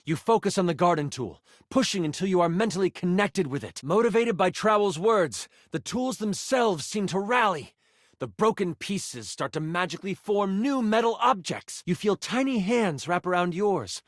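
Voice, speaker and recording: Animated, dramatic game master. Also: male voice, high-pitched, normal speed, no echo, studio quality. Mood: intense, encouraging. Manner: dramatic, storytelling. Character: dramatic male fantasy game master narrator. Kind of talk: theatrical scripted narration.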